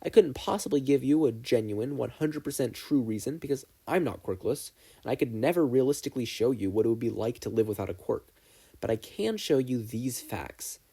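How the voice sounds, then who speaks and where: A man's voice: medium pitch, animated, brisk, gravelly, mellow, husky. A man voicing a weary, blunt male teacher with a grave, drawling manner tinged with sadness.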